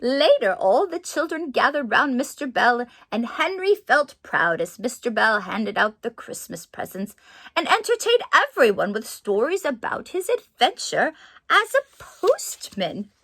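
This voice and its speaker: A woman reads to children as a doting Mrs. Claus in a very high voice. Her delivery is slow and drawn out, with lively swings in pitch and gushing exclamations. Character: Mrs. Claus, sweet doting excitable Christmas lady. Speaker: storyteller playing Mrs. Claus. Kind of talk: children's story read-aloud with excited asides.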